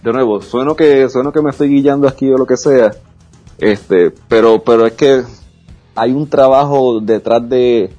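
A man's voice: mid-range voice, normal speed, mellow, highs cut off, soft background music. A man, an esports caster speaking frankly and confidently as a guest in a casual interview. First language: Spanish. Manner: frank, self-assured, with frequent restarts.

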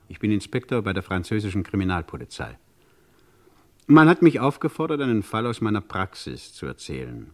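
A man's voice: on the low side, darkish tone. An actor playing a suave, formal French police inspector who narrates his case in a 1950s German radio crime play. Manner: formal, polite, deliberate, wordy.

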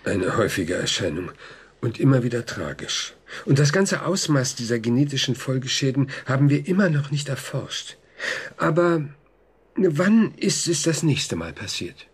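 An actor in a German radio drama playing a composed, methodical psychotherapist who is reassuring, probing and clinical. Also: male voice, medium pitch, big pitch swings, breathy edge. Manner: measured, clinical, deliberate.

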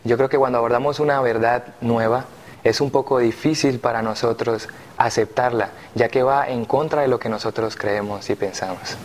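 A man in his twenties from Colombia, a theology student, speaking calmly and reading scripture aloud. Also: medium pitch, normal speed. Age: 20s. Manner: calm, reads scripture aloud.

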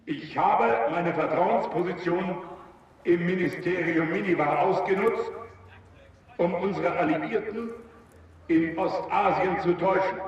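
Heard far off in a vast, reverberant hall, a broken male prisoner makes a formal confession in a radio drama. His raised voice moves slowly and solemnly, submissive and declamatory, slightly muffled by the space. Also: fairly high pitch. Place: large reverberant hall effect. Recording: distant, muffled, long echoing hall reverb.

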